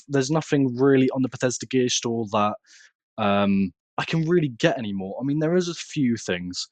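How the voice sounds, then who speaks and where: A man's voice: medium pitch, no room sound. A man with a British accent chatting casually as a podcast guest and content creator. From United Kingdom. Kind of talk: casual chat.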